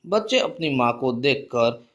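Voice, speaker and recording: A man's voice: mid-range voice, expressive, unhurried, a bit bright. A man narrating a scripted cartoon story for children.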